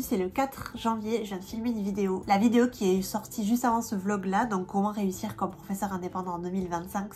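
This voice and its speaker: A woman's voice: mid-range voice, darkish tone. Chatty woman with a head cold. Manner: chatty, many fillers and restarts. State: stuffy, has a cold. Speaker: vlogger and independent language teacher. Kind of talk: casual vlog chat.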